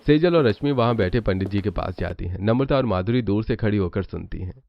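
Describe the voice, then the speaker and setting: Low-voiced male story narrator, muffled. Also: animated, a little fast. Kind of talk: scripted cartoon story narration. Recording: muffled audio, clear background noise.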